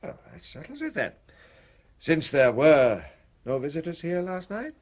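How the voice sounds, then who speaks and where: A man playing an unflappable British police inspector in a radio play, courteous and measured as he probes. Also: on the high side, sing-song, a little mellow. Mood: brisk, probing. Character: unflappable, politely probing male police inspector.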